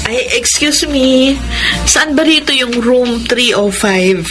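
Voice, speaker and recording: Humble working student maid, thirty: Filipino, deferential, fairly high voice, radio drama. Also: female voice, slow pace, loud music behind the voice. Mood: uneasy. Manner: meek, deferential. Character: humble, polite thirty-year-old housemaid studying at college.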